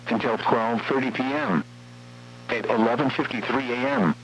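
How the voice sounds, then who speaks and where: A synthetic male announcer reads weather warnings over the radio. The voice is mid-pitched and formal, with a measured, impersonal delivery. The sound is dull and band-limited, with a light layer of hum and noise. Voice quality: synthetic text-to-speech voice. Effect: radio broadcast. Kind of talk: scripted weather warning broadcast.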